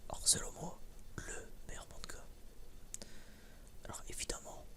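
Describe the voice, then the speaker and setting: A young man with a French accent whispering as an ASMR video host, rambling hesitantly and often repeating himself. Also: measured pace.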